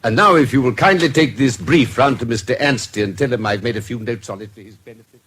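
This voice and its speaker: An actor playing a measured, authoritative British investigator in a radio drama, speaking with precise, formal, dry courtesy. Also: male voice, mid-range voice, fairly bright tone, husky. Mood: decisive.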